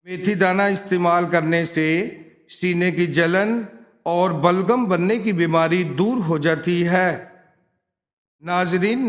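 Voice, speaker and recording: Slow, high-pitched male narrator. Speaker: narrator of a home remedies and quotes channel. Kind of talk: scripted voice-over narration of tips and sayings.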